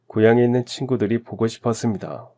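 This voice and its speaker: A man from Daegu narrating his own life story in a calm, reflective, confessional first-person style. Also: medium pitch, fast. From Daegu, South Korea.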